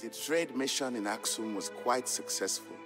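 An actor playing an Ethiopian trader and father, narrating old legends in a measured, fond storybook style for a game. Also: mid-range voice, a bit bright, lightly acted. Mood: gentle, fatherly. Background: background music.

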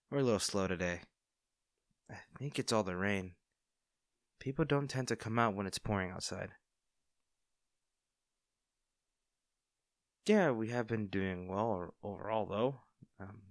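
Man playing young male barista, friendly and caring, lowish voice, dry close sound, casual hesitant delivery, audio roleplay. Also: a bit bright, no echo. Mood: warm, chatty. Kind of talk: intimate audio roleplay acting.

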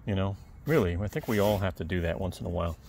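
A man talks in a relaxed, rambling way to his viewers while working outdoors. His voice is soft and a bit low with a gravelly edge. The close camera picks up clear background noise. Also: quick pace. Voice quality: soft, calm voice. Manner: chatty, rambling asides to the viewer. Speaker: video creator. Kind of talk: casual how-to talk while working. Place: outdoors on a lawn. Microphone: camera held close, point of view.

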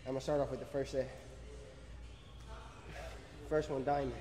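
Young man, laid-back gym vlogger, mellow voice, long echo from a camera across the room. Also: mid-range voice, level tone, moderate pace. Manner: laid-back, talks between sets in slang. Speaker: fitness vlogger. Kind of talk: casual workout vlog narration. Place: large indoor gym at night. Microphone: camera on a stand across the room. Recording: echoey gym, far from camera.

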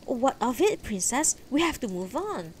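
A woman voicing a gentle, protective elderly husband in a fantasy story, earnest and soothing. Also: a little high, very animated, normal speed, a little hiss or hum, voice pushed up. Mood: concerned.

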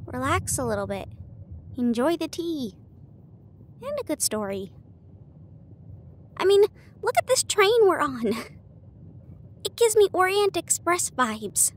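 A woman performing an intimate audio roleplay as an affectionate, bookish partner, chatting casually with hesitant pauses. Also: high-pitched, big pitch swings. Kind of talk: intimate acted roleplay monologue. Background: ambient train sound effects.

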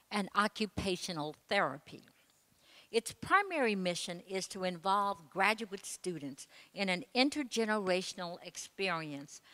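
A woman reads a formal panel introduction in a measured, list-like cadence, speaking into a handheld microphone. Her voice carries a long reverb from the indoor gallery around her. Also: mid-range voice, a bit bright. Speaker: panel co-moderator. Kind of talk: reading a prepared introduction. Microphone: handheld mic.

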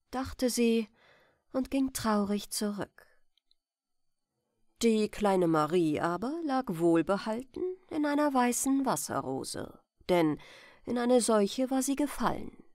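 Woman reading a bedtime story, takes her time, lively melody, dry close sound. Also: fairly high pitch, no echo. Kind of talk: scripted bedtime fairy-tale narration.

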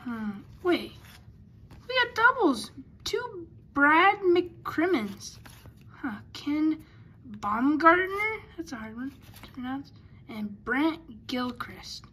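High-pitched chatty woman opening cards. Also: big pitch swings, takes it slowly, sharp tone. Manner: chatty, stumbles over player names. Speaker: card collector. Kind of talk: casual card-opening commentary. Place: indoors at a table.

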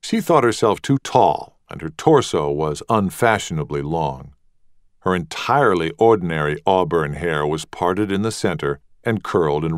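A man narrating a literary audiobook with measured, deliberate phrasing. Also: low-pitched, no room sound, clean studio sound.

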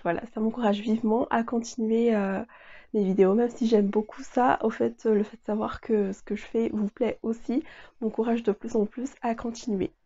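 A woman's voice: fairly high pitch, mellow, highs cut off. A young woman with a cold, sounding nasal, chatting to camera in a sewing vlog with lots of fillers and restarts. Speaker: sewing vlogger. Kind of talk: casual talk to camera. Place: small bedroom sewing corner, indoors.

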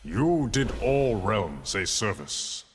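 A noble warrior shaman from a fighting game. His grave, measured voice is fairly low, with long reverb and loud noise behind it. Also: sing-song. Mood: solemn.

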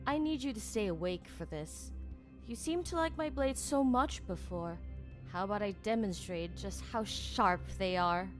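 A woman's voice: mid-range voice, animated, a little slow, over loud music, close to an everyday voice. A woman playing a cruel, taunting assassin for hire in an audio drama, speaking with menacing deliberation.

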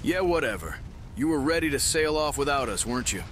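A man's voice: medium pitch, lively intonation, brisk, fairly bright tone, a little hiss or hum, lightly acted. A man playing a brave young Roman soldier who takes charge with urgent orders in an audio drama. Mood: gruff, firm. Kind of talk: audio drama roleplay acting.

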